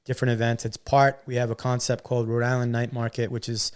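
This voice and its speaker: A man's voice: medium pitch, monotone, fast talker, smooth. A man talking as a podcast guest, earnest and upbeat, repeating words and using many fillers. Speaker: guest, entrepreneur. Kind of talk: casual interview chat.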